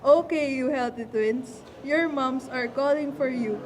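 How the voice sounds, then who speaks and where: A woman's voice: sits high, measured pace, a little hiss or hum, poor audio. A woman playing a kindly doctor who talks to children in a scripted puppet show. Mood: approving.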